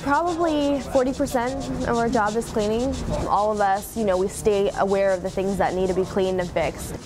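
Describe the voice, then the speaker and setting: A woman's voice: medium pitch, moderate pace, background noise. A young woman, a lead lifeguard, talking casually about her work with plenty of fillers, recorded outdoors on a pool deck. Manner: relaxed, chatty with many fillers. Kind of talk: casual documentary interview. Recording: music bed underneath.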